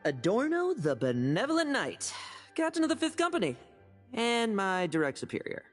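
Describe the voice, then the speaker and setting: A woman's voice: mid-range voice, wildly swooping pitch, moderate pace, in a large hall, soft background music. A woman playing a cheeky, evasive young knight in a game, with a breezy, glib manner. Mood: scheming, playful. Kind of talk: game voice acting.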